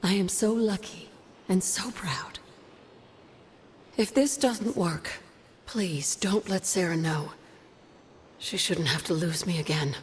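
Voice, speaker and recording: A ghostly witch in a video game. Her voice is slightly low, earnest and sure of itself, both proud and tender. A faint rumble runs underneath. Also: slow pace, long pauses.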